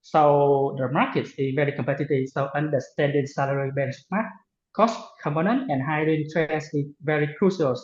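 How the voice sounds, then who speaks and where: A man's voice: on the high side, normal speed. Man speaking over dull, echoey audio. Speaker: webinar speaker. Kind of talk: closing a presentation.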